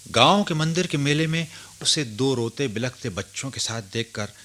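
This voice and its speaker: A man acting a respectful, curious grandson in a Hindi radio drama, speaking and narrating in an earnest, deliberate way. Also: medium pitch, expressive, fairly bright tone, close to an everyday voice. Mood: reflective, narrating. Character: respectful, curious grown grandson who narrates his memories.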